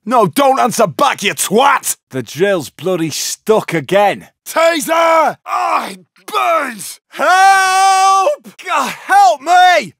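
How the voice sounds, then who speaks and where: An actor playing a foul-mouthed, cocky British bank robber in a video game, with a brash, sweary way of barking out combat lines. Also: male voice, very high voice, sing-song, drawn-out words, creaky, a bit bright, no echo, shouting, studio quality, exaggerated voice. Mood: frantic, aggressive.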